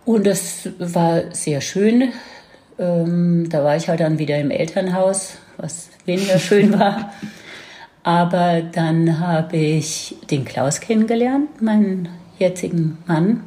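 Woman in her seventies, Baden accent, low mellow voice, reminiscing, unhurried. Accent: Baden regional German. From near Heidelberg, Germany. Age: 70s. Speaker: podcast guest, grandmother. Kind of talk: casual storytelling.

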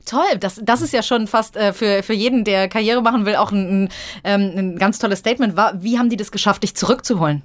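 A woman hosting a business interview podcast, asking long, meandering questions. She fills pauses with "ähm" and "äh" and keeps cutting in with eager agreement, in a mid-pitched voice. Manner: chatty, frequent fillers, enthusiastic affirmations.

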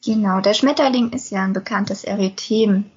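A woman's voice: mid-range voice, moderate pace, breathy edge, highs cut off. A woman, presenting a podcast in a conversational way. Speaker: podcast host, self-help group member.

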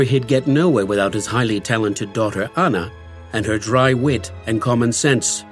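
A man's voice: mid-range voice, close to an everyday voice. Actor as dramatic male mystery narrator. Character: male series narrator setting up a dark mystery.

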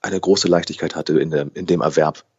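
A man's voice: a bass voice, fairly even intonation, a little fast, a little mellow, husky, muffled recording. A man in his late twenties, a guest in an interview, talking thoughtfully and often correcting himself mid-sentence. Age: 20s. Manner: thoughtful, restarts and self-corrections. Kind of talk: conversational interview.

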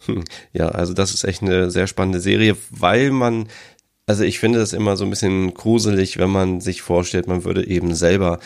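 Man with a cold, very low gravelly voice, hesitant podcast host. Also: steady tone, normal speed. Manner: hesitant, many ums and uhs. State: has a cold. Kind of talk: casual solo podcast chat.

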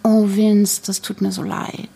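A woman's voice: mid-range voice, little pitch movement, a little slow, vocal fry, dark tone, a little hiss or hum. An actress playing a pragmatic, tired young mother in a naturalistic audio drama, matter-of-fact and questioning with her friends. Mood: caring, weary.

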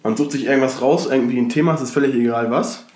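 A man co-hosting a casual podcast from his shared flat, slightly congested from a cold, rambling with many fillers and restarts. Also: medium pitch, quick pace, reverberant. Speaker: podcast co-host. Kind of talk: casual chat.

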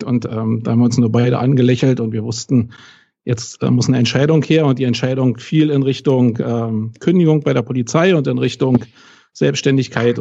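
A man in his late forties with a Berlin accent, telling his story as a podcast guest in a relaxed way with dry humor. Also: medium pitch, gravelly, dull audio. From West Berlin, Germany. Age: 40s. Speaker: podcast guest, SEO agency owner. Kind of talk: casual podcast conversation.

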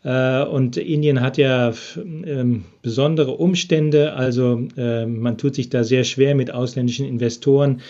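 A man's voice: mid-range voice, steady tone, unhurried, few pauses, mellow. Hesitant man on a dull remote line. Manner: hesitant, frequent filler sounds. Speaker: business expert interviewed from Japan. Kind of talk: podcast interview answers.

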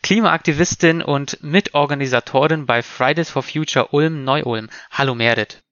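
A man hosting a discussion podcast, speaking in a measured, formal and articulate way. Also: on the high side, a bit bright, no room sound, highs cut off. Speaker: podcast host moderating a panel. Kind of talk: scripted introduction, moderated discussion.

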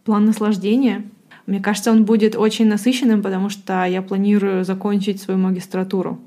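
A woman's voice: mid-range voice, flat delivery, a little fast, dark tone. A woman in her late twenties co-hosting a podcast, chatting casually and self-ironically, thinking aloud with fillers. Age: late 20s. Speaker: podcast co-host. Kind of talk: casual chat. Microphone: podcast microphone.

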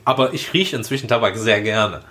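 A man's voice: on the low side, brisk, fairly bright tone. A man in his late thirties chatting casually and humorously, telling anecdotes in a colloquial, sweary, mock-dramatic way. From Germany, Rhineland. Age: late 30s. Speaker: podcast co-host.

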